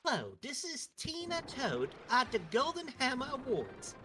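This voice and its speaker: A woman acting as a brisk cartoon princess hosting an award show in a story read-aloud, with music behind her. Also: high voice, huge pitch swings, pushed and bright, heavily acted. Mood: announcing.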